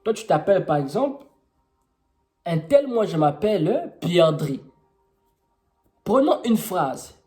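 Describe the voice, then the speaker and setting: Young Congolese man, French with Congolese accent, Kituba native speaker, warm voice, informal teaching with rhetorical questions. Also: sits high, sing-song, slow pace, long pauses. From Congo-Brazzaville. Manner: explanatory, with rhetorical questions and many fillers.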